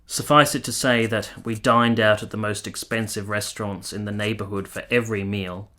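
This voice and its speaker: Actor as romantic 19th-century gentleman, male, fairly deep voice, literary and tender, for a period radio play. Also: steady tone, normal speed. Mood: wistful, devoted. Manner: literary, lingering, formal storytelling. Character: romantic, wistful 19th-century Italian gentleman lover recalling his affair. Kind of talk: radio play acting and first-person narration.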